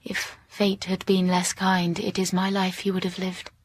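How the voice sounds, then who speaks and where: An actress playing a reserved, melancholy and intense young teacher in a period drama, speaking in formal, measured, hushed phrases. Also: female voice, on the low side, monotone. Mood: restrained sorrow. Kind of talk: period literary audio drama acting and narration.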